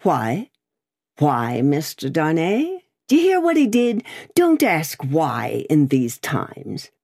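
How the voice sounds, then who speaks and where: A woman voicing a blatant, bullying gentleman who hectors and scorns in a raised, blustering voice. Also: sits low, huge pitch swings, slow pace, no room sound, clean studio sound, full character voice. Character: blatant bullying loud-mouthed gentleman, adult man.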